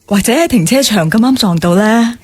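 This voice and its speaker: An actress playing a sensible, reserved office professional in a radio drama, with a matter-of-fact manner. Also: female voice, on the high side, expressive, fairly quick, slightly rough. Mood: dismissive.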